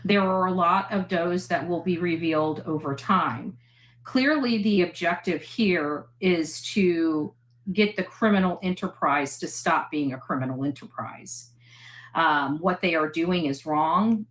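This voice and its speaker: A woman's voice: fairly low pitch, measured pace, fairly bright tone, rough recording. A woman speaking in a casual vlog-style monologue as a livestreamer. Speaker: livestreamer heard in played clips.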